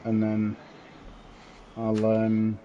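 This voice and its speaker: A man's voice: lowish voice, monotone, drawn-out words, slight background noise. A man racing online, muttering his lap times and swearing casually as he chats with friends before a race. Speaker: sim racing driver. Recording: dull lo-fi voice chat.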